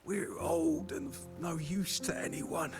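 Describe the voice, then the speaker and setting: An actor playing a frail, ailing old man in a video game, weary and halting, breathy and coughing. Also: fairly high pitch, lively intonation, soft background music. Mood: weary, resigned.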